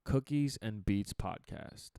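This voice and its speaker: A young man co-hosting a casual podcast chat, with a deep radio-type voice, animated and quick to laugh. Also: vocal fry, clean tone, no echo, studio quality. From Chicago area. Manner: animated, laughs often, talks in bursts with restarts. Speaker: podcast co-host.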